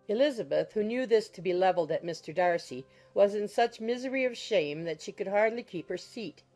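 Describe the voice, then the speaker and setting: A woman's voice: medium pitch, lively intonation, brisk, a little mellow. A woman reading scripted literary narration as the narrator of an audiobook. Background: music.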